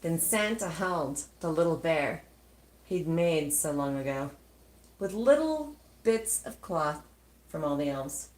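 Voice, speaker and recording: Expressive woman storyteller, lively swooping pitch. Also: slow, reverberant, rough recording. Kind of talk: expressive read-aloud of a rhyming children's storybook.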